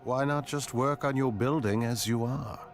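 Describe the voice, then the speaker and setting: An actor playing a sly, sinister wish-granting showman in a game cutscene, measured and mock-courteous. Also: mid-range voice, soft background music, close to an everyday voice.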